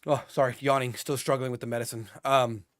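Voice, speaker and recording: Man, hoarse, livestream host, casual rambling commentary, desk mic. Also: medium pitch, little pitch movement, brisk. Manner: casual, rambling, filler-heavy. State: hoarse from being sick. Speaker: host of a security news livestream. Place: home studio, lives in Singapore.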